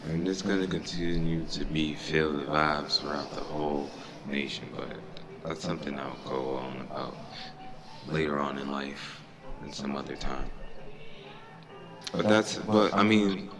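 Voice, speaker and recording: Young man with an extremely deep voice. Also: measured pace, music in the background. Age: young adult. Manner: laid-back, prompting the guest. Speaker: podcast co-host. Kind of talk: casual chat.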